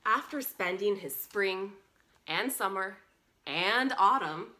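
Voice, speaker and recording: A woman's voice: mid-range voice, lively intonation, takes it slowly, bright, forceful tone. A woman presenting a read-along story for young children, reading expressively and adding encouraging asides to her little listeners.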